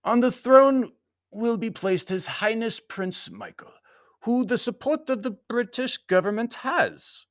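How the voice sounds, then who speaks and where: High-pitched, pompous Baron. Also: male voice, expressive, measured pace, no echo, clean studio sound. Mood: stern, accusing. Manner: stiff, formal, inverted word order. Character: big bearded foreign Baron, stiff, formal, pompous loyalist envoy.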